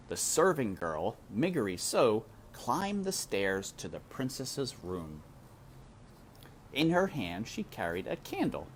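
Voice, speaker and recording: A man reading a children's story aloud in a dramatic, deliberate manner, addressing the listener directly. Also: lowish voice, slight background noise. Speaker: read-aloud narrator. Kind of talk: expressive storybook read-aloud.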